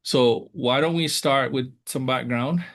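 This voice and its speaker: A man hosting an interview, speaking haltingly with many fillers and repeated words. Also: mid-range voice, a bit bright. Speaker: host. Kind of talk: casual interview.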